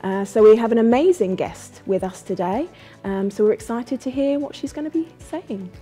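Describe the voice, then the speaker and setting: A woman's voice: fairly high pitch, normal speed, dark tone, faint music behind. A woman hosting an interview, speaking casually with many fillers and encouraging interjections. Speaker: podcast host.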